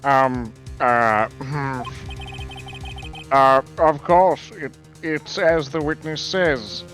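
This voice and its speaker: A man voicing a proud, formal but flustered male prosecutor in a game fan dub, halting and stiffly pompous. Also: medium pitch, steady tone, fairly bright tone, soft background music.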